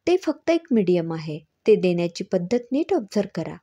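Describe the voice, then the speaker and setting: A woman's voice: fairly low pitch, sing-song, normal speed, warm tone, highs cut off. A woman storyteller voicing a clever, teasing woman doctor who explains things patiently and with assurance. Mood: explaining.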